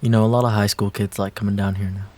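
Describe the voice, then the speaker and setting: A man acting a wistful young ex-boyfriend in an intimate roleplay audio drama, speaking casually and hesitantly, with pauses and trailing phrases. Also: fairly low pitch, level tone, darkish tone, natural voice. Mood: fond, nostalgic.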